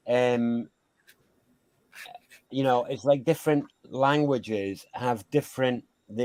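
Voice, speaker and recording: A man's voice: medium pitch, no room sound. Musing Scottish man, dry close sound. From Scotland. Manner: musing, rambling with restarts and asides. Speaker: guest sound healer. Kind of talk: casual conversation.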